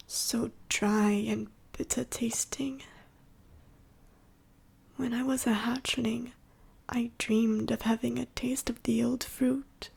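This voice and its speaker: Breathy, dying female dragon, acted by a woman. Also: fairly high pitch, a little mellow, exaggerated voice. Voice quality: breathy, whispery, husky. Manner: slow, formal, archaic phrasing with heavy pauses. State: weak, short of breath. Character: dying female dragon, last of her bloodline, sorrowful, gentle. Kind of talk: ASMR roleplay.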